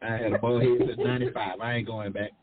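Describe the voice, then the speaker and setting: A man's voice: mid-range voice, flat delivery, quick pace, over a noisy crowd. Muffled middle-aged man, playful and slangy. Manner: playful, slangy, repeats phrases for emphasis. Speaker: talk show panelist. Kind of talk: casual chat. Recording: muffled, narrow phone-like audio.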